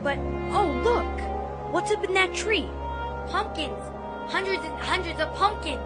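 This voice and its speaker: A woman playing an excitable, wide-eyed adventurous young boy, breathless and exclamatory, in a dramatized audiobook. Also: extremely high pitch, measured pace, loud music behind the voice. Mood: excited.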